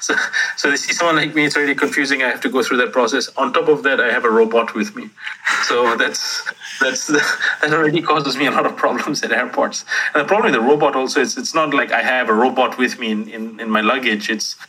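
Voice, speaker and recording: Reflective man with a bright voice. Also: mid-range voice, steady tone, fairly quick, slightly rough, low-quality recording. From Singapore. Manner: reflective, meandering, self-deprecating humor. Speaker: startup founder, guest. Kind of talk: interview answer, personal storytelling.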